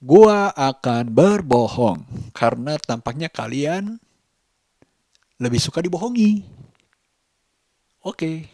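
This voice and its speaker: A man talking casually as a vlogger, halting and deliberate, repeating phrases for emphasis. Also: on the high side, sing-song, dark tone, no room sound. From Indonesia. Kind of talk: casual vlog monologue.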